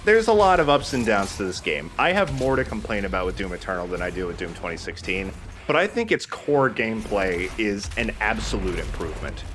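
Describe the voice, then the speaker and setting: A man's voice: lowish voice, very animated, fairly quick, noisy background. A man hosting a casual let's play, explaining the game and giving his opinions, with some mild swearing. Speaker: host playing a video game.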